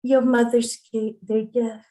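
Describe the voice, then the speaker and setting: A middle-aged woman poet performs at an open mic. She speaks slowly and deliberately, with an earnest manner. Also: fairly high pitch, level tone, mellow. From Singapore. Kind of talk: spoken introduction to open mic poetry. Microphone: online video call at home.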